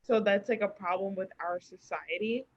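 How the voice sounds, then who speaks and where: A woman speaks as a podcast guest in a casual, earnest and supportive way. Her mid-pitched voice comes through a slightly dull, lo-fi remote recording. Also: moderate pace. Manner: encouraging, earnest. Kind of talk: casual chat. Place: remote group call.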